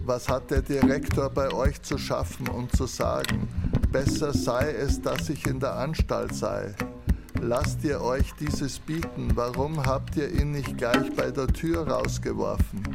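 Gravelly aggrieved son. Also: male voice, medium pitch, measured pace, over loud music. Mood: bitter, indignant. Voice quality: very creaky, gravelly. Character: aggrieved institutionalised son reading his letter. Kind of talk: audio drama letter reading.

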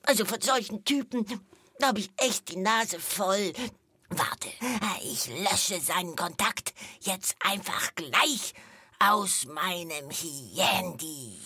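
An excitable female hyena for a children's comedy podcast. The voice is husky and cackling, with slow stretched-out phrasing and dramatic stammers, recorded very dry and close. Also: fairly low pitch, no echo, full character voice. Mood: indignant, hurt. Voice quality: husky, breaking into cackling laughter. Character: giggly female hyena, excitable self-appointed joke teller.

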